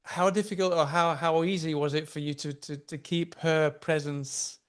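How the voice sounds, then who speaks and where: A man's voice: a little high, steady tone, moderate pace, clear, resonant tone. Man asking curious, rambling questions. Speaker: interview host. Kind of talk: casual interview questions.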